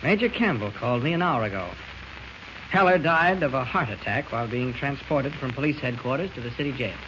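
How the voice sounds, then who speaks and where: An actor playing a modest, polite newspaper reporter with a light, courteous manner in a 1940s radio drama, on an old noisy recording. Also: male voice, medium pitch, animated, brisk, highs cut off, natural voice. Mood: serious.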